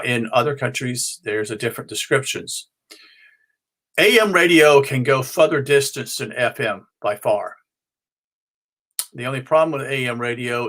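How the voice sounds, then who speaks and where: Halting American man explaining informally. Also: mid-range voice, a bit bright. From North Carolina, United States. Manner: halting, rambling, self-correcting. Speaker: ham and shortwave radio hobbyist presenting his show.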